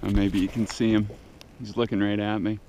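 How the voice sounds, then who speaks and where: A man in his thirties giving quiet, low-key running commentary while fishing from a kayak on open sea, recorded on an action camera. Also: little pitch movement, brisk. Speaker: fishing vlogger. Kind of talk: casual first-person narration while fishing. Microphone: action camera mounted on the kayak.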